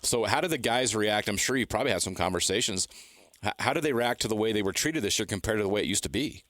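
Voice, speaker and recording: A man's voice: fairly low pitch, animated, quick pace, fairly bright tone, dry recording. A man co-hosting sports radio, casually putting questions to a guest.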